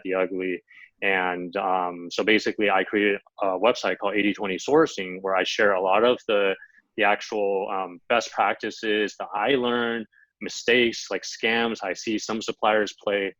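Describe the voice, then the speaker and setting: A low-voiced American man in his thirties talks casually as a podcast guest, leaning on "um" and "you know" as he goes. His voice comes over a dull, dry line. The tone stays relaxed and conversational. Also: dry recording. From United States (Los Angeles). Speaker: guest, Amazon seller and sourcing coach. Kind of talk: podcast interview, casual storytelling.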